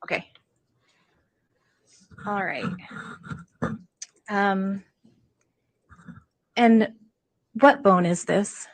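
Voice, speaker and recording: A woman prosecutor questioning a witness in a courtroom, soft-spoken and polite. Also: medium pitch, extremely slow, pauses often, rough recording.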